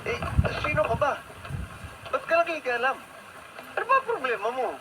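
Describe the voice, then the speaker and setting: A man's voice: extremely high pitch, very animated, normal speed. An actor with a Filipino accent playing a lovestruck, dramatic young male student in a radio drama, pleading and persistent. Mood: indignant. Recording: very noisy radio broadcast.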